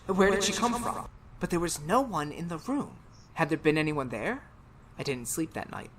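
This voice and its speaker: A woman playing a tormented, haunted man in a 1930s-style radio horror drama, speaking melodramatically with halting, emphatic repetitions. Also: sits low, big pitch swings, a little hiss or hum. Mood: uneasy reasoning.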